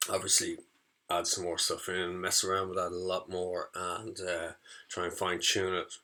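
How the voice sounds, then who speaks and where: A man with a deep voice and a bright, forward edge gives an informal demonstration. His delivery is halting and hesitant, as if feeling his way through the explanation. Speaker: music student demonstrating audio effects.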